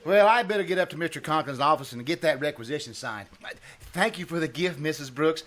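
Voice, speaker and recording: Man playing a bashful, high-swinging schoolteacher. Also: animated, brisk, a bit bright. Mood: surprised, grateful. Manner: stammering, bashful. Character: shy, naive, hesitant bachelor schoolteacher, boyishly earnest. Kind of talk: old-time radio comedy acting before a live audience. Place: live stage in a small-town theater.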